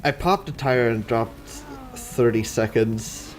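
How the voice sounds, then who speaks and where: A man's voice: medium pitch, slow, soft background music. A man giving casual, conversational commentary over video game play. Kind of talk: casual gameplay commentary chat.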